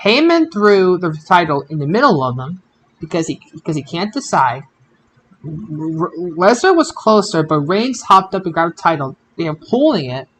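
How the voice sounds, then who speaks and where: A podcast host recapping wrestling results casually, in a halting way that often repeats phrases. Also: mid-range voice, sing-song, a bit bright. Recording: noisy amateur podcast audio.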